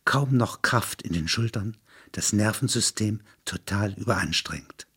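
A man reading scripted literary narration in a husky voice. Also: lowish voice.

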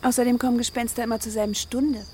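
An actress playing a wary, exasperated middle-aged German wife in naturalistic radio drama dialogue, reproachful and sceptical. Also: female voice, on the high side, little pitch movement, fast talker, mellow, slight background noise, close to an everyday voice.

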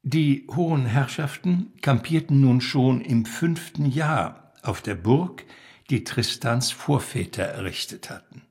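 A man reads literary prose aloud in a mid-pitched voice. The tone is husky, with a marked gravelly creak, and the delivery is that of a storyteller working from a written text. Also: expressive, takes it slowly, darkish tone. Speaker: reader.

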